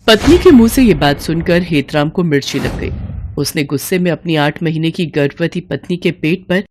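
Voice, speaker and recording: A woman's voice: deep voice, lively intonation, normal speed, darkish tone, a little hiss or hum. A woman storyteller delivering scripted, dramatic narration of a moral tale.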